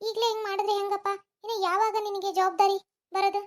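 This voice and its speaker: A fretful cartoon mother, voiced by a woman, scolds and pleads with her son in a very high, shrill voice. Her tone swings between nagging and lament. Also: flat delivery, fast talker, slight background noise. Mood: scolding. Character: worried middle-aged mother scolding her grown son. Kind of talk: home-made cartoon voice acting.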